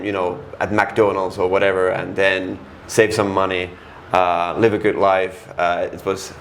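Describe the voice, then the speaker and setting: A man's voice: low-pitched, slight background noise. A middle-aged Finnish man with a Finnish accent, speaking frankly as an interview guest and stopping often to restart his words. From Finland. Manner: frank, halting, many restarts and fillers. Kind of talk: casual interview.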